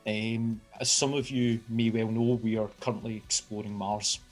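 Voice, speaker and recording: A man's voice: lowish voice, level tone, moderate pace, soft background music. A Scottish man with a Glaswegian accent, a university engineering lecturer giving an enthusiastic, informal science outreach talk. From Scotland. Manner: enthusiastic, chatty, with fillers and restarts.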